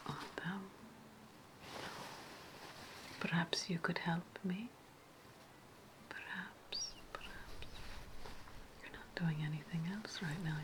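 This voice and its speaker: A woman playing a sly, menacing ancient Roman witch hostess in a whispered ASMR roleplay, speaking deliberately with repeated phrases and lingering hums. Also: on the low side, rough recording. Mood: coaxing.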